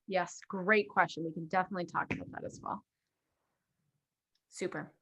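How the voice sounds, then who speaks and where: A woman's voice: on the low side, fairly even intonation, normal speed, a bit bright, breathy edge. A woman in her thirties, from Afghanistan and Pakistan, hosting a video call in an upbeat, conversational way with many fillers. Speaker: host presenting a church software platform. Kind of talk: casual video call presentation.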